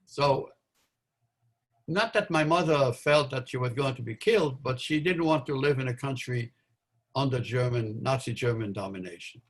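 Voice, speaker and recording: Male guest, 80s, from Belgium; halting, filler-heavy recollection, middle-pitched voice. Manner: halting, many fillers and restarts. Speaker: interview guest, Holocaust survivor. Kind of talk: recounting memories in a live interview.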